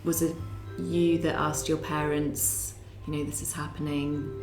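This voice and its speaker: A woman with a very low voice hosting a podcast. She asks gentle questions and keeps encouraging her guest along. An echoey room and clear music sit behind her. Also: flat delivery, unhurried. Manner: gentle, encouraging, frequent "mm-hmm". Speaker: podcast host. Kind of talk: interviewer asking questions.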